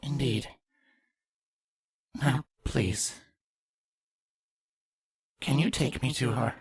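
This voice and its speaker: An actor playing a regal changeling queen in an audio fan fiction drama, husky and slow. She speaks with grave deliberation, a grieving mother with vengeance in her. The sound is close and dry. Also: mid-range voice, no echo, exaggerated voice. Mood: subdued, formal.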